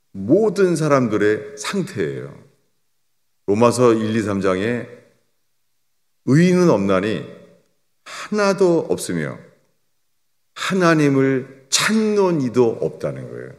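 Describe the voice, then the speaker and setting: An older man preaching a sermon as a pastor, swinging between raised emphatic lines and low, quiet drops. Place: church sanctuary. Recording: long church reverb.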